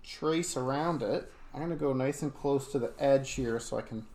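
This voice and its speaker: A man's voice: mid-range voice, normal speed, darkish tone. A man giving a casual, step-by-step craft tutorial as an art educator, rambling a little with informal asides. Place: home studio. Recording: clear background noise, small clicks.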